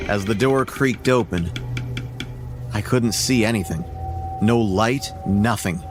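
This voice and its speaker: A man's voice: lowish voice, slow. A man reading a scripted first-person horror story as its narrator. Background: music.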